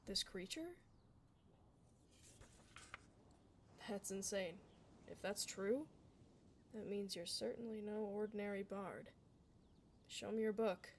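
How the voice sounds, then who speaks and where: A woman's voice: medium pitch, a little slow, slight background noise, lightly acted. A woman playing a blunt, kind tomboy dullahan adventurer in a casual, reassuring fantasy ASMR roleplay. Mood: curious, baffled. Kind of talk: ASMR fantasy roleplay, close conversational acting.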